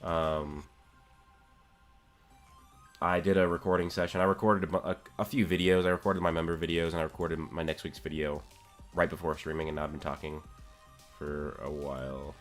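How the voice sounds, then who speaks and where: A man's voice: very deep voice, flat delivery, moderate pace, long pauses, soft background music. A man live-streaming, chatting casually and answering viewers' questions, his voice getting scratchy from a long day of talking. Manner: answers chat questions, rambling with restarts. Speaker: streamer discussing anime with chat.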